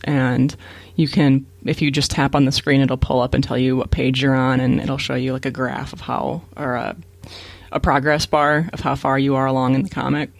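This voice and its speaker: A man's voice: medium pitch, gravelly, dry recording. A man co-hosting a podcast, explaining things in a casual, matter-of-fact way. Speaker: podcast co-host.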